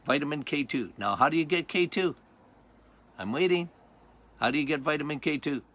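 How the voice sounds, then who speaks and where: An older man with a loud voice, a doctor hosting a health podcast outdoors, talking casually and emphatically, repeating key points and quizzing his listeners. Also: a little high, animated, a little fast. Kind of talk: casual health talk monologue.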